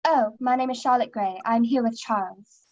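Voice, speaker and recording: A woman's voice: a little high, normal speed, no echo, lo-fi sound, lightly acted. A young woman acting in a radio play as an awkward, unsure, hesitant guest out of place at a ball. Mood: hesitant, confused. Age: young adult.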